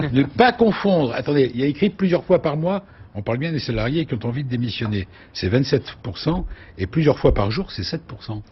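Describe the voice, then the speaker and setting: Husky man hosting a business show. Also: mid-range voice, dull audio. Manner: lively interviewer, reads out figures, quick asides. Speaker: TV show host. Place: TV studio.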